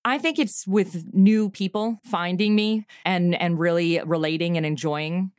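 A middle-aged woman talking through her creative work in a relaxed interview, upbeat and laughing easily. Her voice sits a little low for a woman. The recording is dry and close, with no room around it. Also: clean tone, no echo. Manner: animated, laughs often, quick asides. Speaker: podcast creator and guest. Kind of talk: casual interview chat.

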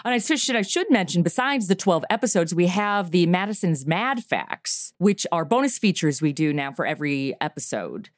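A woman's voice: lowish voice, sing-song, no echo, studio quality. A woman talking eagerly and chattily about her comedy podcast as an interview guest. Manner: eager, chatty, quick to laugh. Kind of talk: casual interview chat.

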